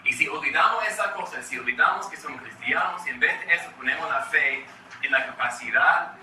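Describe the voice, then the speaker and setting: Man, American accent, very bright voice, quick with lots of restarts, roomy far-off sound. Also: a little high, a little hiss or hum. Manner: fast-talking, frequent restarts and repeated words. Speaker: children's ministry trainer. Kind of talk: training talk to church workers. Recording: echoey room from far off.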